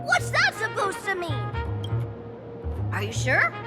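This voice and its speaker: A woman's voice: very high voice, wildly swooping pitch, slow, exaggerated voice. A woman voicing a small, eager, cutesy furry creature in a video game, chirpy and exaggerated. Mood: eager, deferential. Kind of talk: video game character acting. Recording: loud music behind. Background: loud game music.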